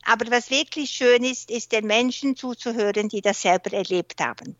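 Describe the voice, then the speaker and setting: A woman from Switzerland speaks German with a Swiss accent, pausing often as she looks for words, since she mostly works in French and English. Her voice sits a little high. The recording is dry and dull, like a remote lo-fi line. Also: no echo. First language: not German; works mainly in French and English. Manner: thoughtful, searches for words, frequent hesitations. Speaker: guest researcher and author. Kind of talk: interview conversation.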